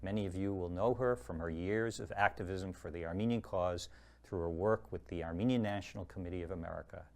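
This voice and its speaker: A man with a very deep voice hosts a history program. He speaks in a measured, formal manner, reading his introductions and asking his guest questions. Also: a little mellow. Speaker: host. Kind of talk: scripted presentation and interview.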